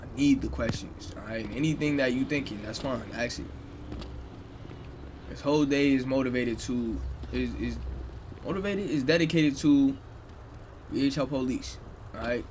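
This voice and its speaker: A man's voice: mid-range voice, normal speed, pauses often, noisy background. Slangy young man giving game instructions. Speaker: streamer leading police roleplay training. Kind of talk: casual instructions to players.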